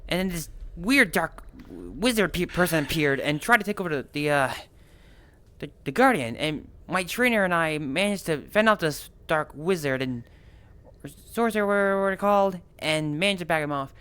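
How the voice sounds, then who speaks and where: Man putting on an eager high-voiced little water creature. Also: expressive, normal speed, pushed and bright, smooth. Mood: eager, proud. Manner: earnest, stumbling storytelling. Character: eager young male water Pokemon companion recounting adventures. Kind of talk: improvised online game roleplay.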